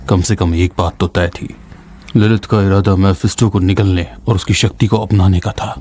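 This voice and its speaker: A very low, husky, dark-toned old scholar. He is a weary mentor, reflective and solemn, narrating a fantasy game tale. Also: steady tone, normal speed. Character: wise, weary old male scholar mentoring a younger ally.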